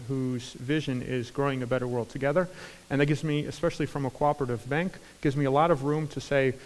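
Keynote speaker, male, 40s, American accent, energetic with many "uh"s, mid pitch, long hall reverb. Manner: energetic, many fillers and restarts. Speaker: conference speaker. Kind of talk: keynote talk. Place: large conference hall stage. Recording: hall reverb from a large room.

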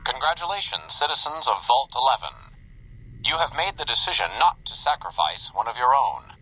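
Cheesy retro game announcer, smug and cheery, swoopy voice, old radio sound. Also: male voice, medium pitch, big pitch swings, normal speed, sharp tone, husky, full character voice, rough recording. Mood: cheery, grandiose. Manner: cheery, polished, theatrically congratulatory. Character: upbeat, smug automated announcer of a vault company. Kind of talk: retro promotional public announcement.

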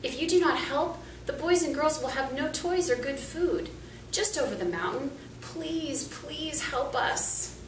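Storybook dolls and toys, one woman playing them: anxious, urgent pleading, high and animated. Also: measured pace, echoey room, far from the mic, background noise.

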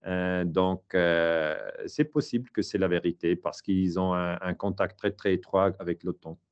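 A man's voice: low-pitched, fairly even intonation, a little slow, dull audio. A middle-aged man with a Swiss German accent, a historian answering interview questions in a hesitant way, with many fillers and restarts, speaking from a home study.

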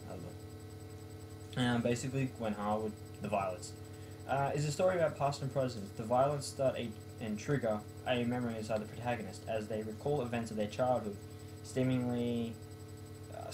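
A man's voice: medium pitch, fairly quick, background noise. A young man in his late teens or early twenties, speaking casually as a student vlogger at home. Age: late teens to young adult. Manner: self-mocking, jokey. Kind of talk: casual talk and poem reading. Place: small bedroom, indoors.

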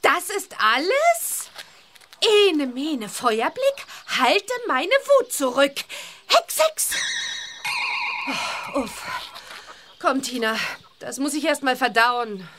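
A woman playing a spirited, kind young witch girl in a children's audio play, perky and expressive. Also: high voice, slow, pauses often, slight background noise, full character voice. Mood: indignant.

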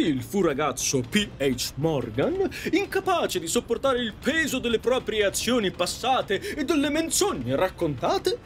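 A man's voice: very high voice, heavily acted. An actor playing a courtly, theatrical ghost who tells his story aboard an afterlife train in an audio drama.

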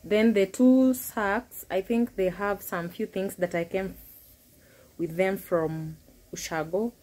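A woman's voice: mid-range voice, fairly even intonation, slow pace, darkish tone. Chatty woman vlogging at home. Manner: chatty, talks viewers through her tidying with small restarts. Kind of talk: casual home vlog narration. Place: bedroom at home.

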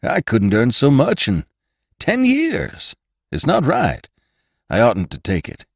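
A man voicing a loyal young ranch rider who protests in an excited way. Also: mid-range voice, takes it slowly, creaky, dry recording, clean studio sound, voice pushed up, exaggerated voice.

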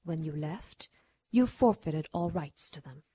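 An ancient demon queen for a radio play. Her voice is husky and recorded close and dry, with honeyed cruelty that hardens into imperious, mocking command. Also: deep voice, animated, a little slow, dark tone, no echo, exaggerated voice. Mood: haughty, mocking. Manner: honeyed coaxing turning to haughty command. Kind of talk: radio drama acting.

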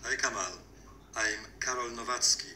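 A friendly roofer in a textbook dialogue. His voice is bright and clear, with a roomy echo and faint noise. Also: medium pitch, normal speed. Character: male roofer making introductions. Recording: echoey audio played back in a room.

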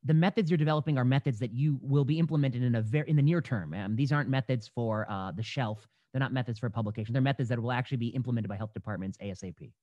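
A man's voice: medium pitch, quick pace, hardly any pauses, warm tone, no echo. Man on a dull, dry video call. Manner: thoughtful, hesitant, many fillers and restarts. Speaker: podcast host. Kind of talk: conversational interview. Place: home room. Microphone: headset mic on a video call.

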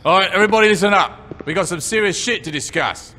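An actor playing a tough, dry-humoured gang boss in a video game cutscene, speaking bluntly with plenty of swearing. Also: male voice, sits high, quick pace, pushed and bright, in a large hall, a little hiss or hum. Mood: commanding.

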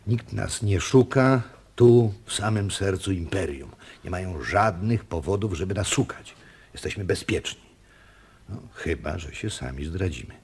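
An actor playing a sober, measured family man in a radio drama, with a husky voice. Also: low voice, expressive, a little mellow, full character voice. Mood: sober, resigned. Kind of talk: Polish radio drama acting.

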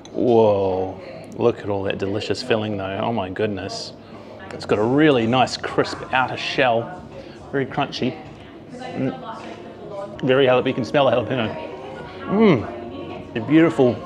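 A man in his forties with a New Zealand accent, excitedly tasting food and touring rooftop bars, filmed on a handheld camera. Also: medium pitch. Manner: enthusiastic, chatty, exclaims over food. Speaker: food vlogger. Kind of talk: casual food and travel vlog. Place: rooftop bars, outdoors and under cover. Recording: music playing behind.

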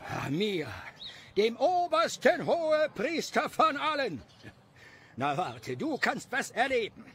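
A shrill, pompous high priest. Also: male voice, sing-song, slow, fairly bright tone, slight background noise, full character voice. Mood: outraged. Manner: pompous, blustering, outraged. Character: pompous, greedy, self-important village high priest. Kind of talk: cartoonish audio drama acting.

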